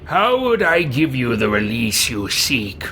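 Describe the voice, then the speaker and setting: A man acts out a curious fantasy adventurer from a role-playing game. The hero speaks earnestly and deliberately, probing with questions in a mid-pitched male voice, with faint noise behind. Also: exaggerated voice. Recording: steady background noise.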